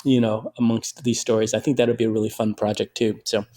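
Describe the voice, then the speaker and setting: A middle-aged man from Detroit, a writer and filmmaker, chatting in an interview as an animated storyteller with lots of fillers and restarts. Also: on the low side, fairly quick. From Detroit, USA. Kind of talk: conversational interview.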